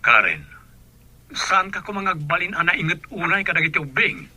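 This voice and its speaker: A man's voice: fairly high pitch, sing-song, normal speed, sharp tone, breathy edge, no room sound, full character voice. A man playing an exasperated father in a radio drama, remonstrating with his wife. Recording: music behind the voice.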